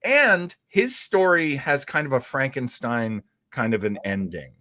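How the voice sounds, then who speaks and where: A man's voice: mid-range voice, animated, unhurried, pushed and bright, no echo, clean studio sound. A man hosting a casual live talk show, speaking in an enthusiastic, rambling way with hesitant phrasing. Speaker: host of a livestream about classic radio and comics. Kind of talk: casual live chat commentary.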